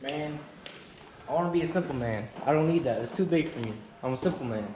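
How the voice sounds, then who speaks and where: A man's voice: a little high, a little fast, warm tone, reverberant, a little hiss or hum, poor audio. A man chatting casually in a dry, joking, reluctant manner. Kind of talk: casual chat.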